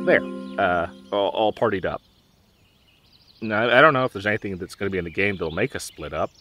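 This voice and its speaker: A young man playing a dorky, nervous, protective gamer best friend in an audio roleplay, with halting, awkward delivery. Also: mid-range voice, a bit bright, a little hiss or hum. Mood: upbeat, determined. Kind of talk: audio roleplay drama, conversational.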